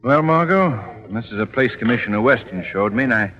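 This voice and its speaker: An actor playing a suave, brooding gentleman sleuth in old radio drama, measured and urbane. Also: mid-range voice, expressive, muffled recording, lightly acted. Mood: pensive. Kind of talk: 1930s radio drama acting.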